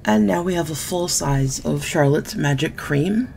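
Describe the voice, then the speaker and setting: Woman, 30s, sick beauty vlogger losing her voice; low, heavily gravelly delivery, candid and chatty; home webcam. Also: a little slow, a little mellow, soft background music. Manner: chatty, candid, mildly sarcastic. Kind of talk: casual product unboxing chat. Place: small room at home, indoors.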